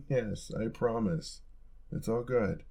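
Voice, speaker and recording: A man acting a low, creaky-voiced caring young roommate. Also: takes it slowly, warm tone, full character voice. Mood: gentle, caring. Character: caring, teasing young male college roommate with a crush. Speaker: audio roleplay performer. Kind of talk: intimate ASMR-style audio roleplay.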